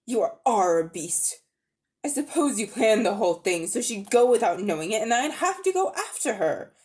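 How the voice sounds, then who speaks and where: A woman voicing a brave, hot-tempered schoolboy in a dramatic storybook reading, speaking bluntly and forcefully. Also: fairly high pitch, very animated, normal speed, voice pushed up. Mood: angry, resigned. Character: indignant, brave, outspoken English schoolboy.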